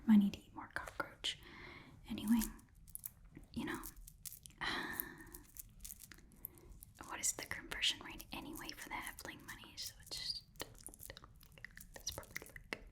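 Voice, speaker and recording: A woman's voice: unhurried, pauses often, close to an everyday voice. A woman playing a small, blunt goblin pawnshop keeper in a casual, muttering ASMR roleplay whisper. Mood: absorbed, muttering. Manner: rambling, casual, muttering, slangy. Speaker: ASMR performer playing a shopkeeper.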